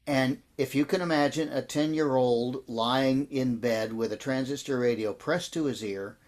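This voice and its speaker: An American man in his sixties reminiscing in an interview as a guest, telling his story in a reflective, halting way. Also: mid-range voice, darkish tone. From American Midwest and Texas. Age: 60s. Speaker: interview guest, audio drama producer and actor.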